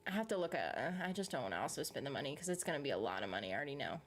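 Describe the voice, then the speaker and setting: A woman's voice: rumbling low, very animated, very fast, a little hiss or hum. A woman with a Southern US accent who hosts a reaction channel, chatting casually and rambling as she answers her viewers' comments. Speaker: YouTube reaction host. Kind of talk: casual live chat with viewers.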